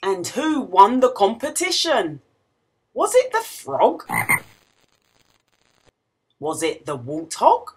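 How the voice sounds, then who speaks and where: A woman reading a picture book aloud to young children in an expressive, questioning storytelling manner. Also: on the high side, takes it slowly, pauses often, breathy edge. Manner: expressive, exclamatory, asks the listener questions.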